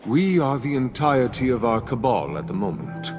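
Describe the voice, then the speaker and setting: A man's voice: mid-range voice, very animated, moderate pace, natural voice. An actor playing a genteel, wordy male villain in a video game, pompous and dryly polite. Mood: smug, courteous. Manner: pompous, florid, alliterative. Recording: game audio under loud music.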